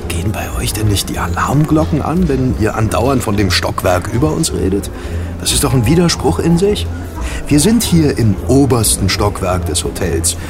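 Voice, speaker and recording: An actor playing a keen amateur detective who reasons insistently in an audio drama. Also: male voice, mid-range voice. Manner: insistent, deducing. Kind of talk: German audio drama dialogue.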